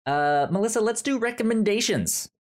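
A man's voice: high-pitched, expressive, moderate pace. A man co-hosting a movie podcast, talking in a hesitant way, trailing off and restarting his sentences. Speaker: podcast co-host. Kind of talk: casual movie review chat.

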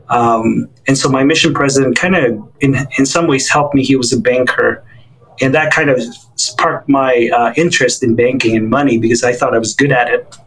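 A man in his forties from the Philippines, a guest telling his life story in an interview, with relaxed fillers and restarts. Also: mid-range voice, rough recording.

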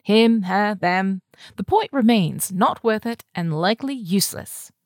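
A woman's voice: mid-range voice, animated, a little slow, no room sound, lightly acted. Narrator lady doing a posh-chic london woman, thirties, brash n breezy, blunt as hell, storybook, dry sound. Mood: cheerfully oblivious.

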